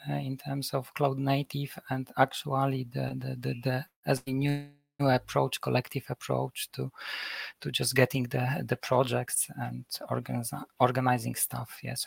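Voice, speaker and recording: A man from Poland introduces himself, stumbling and repeating words. His voice is heavily gravelly and husky, in a flat tone. The sound is dry and close. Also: medium pitch, normal speed, no echo. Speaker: guest.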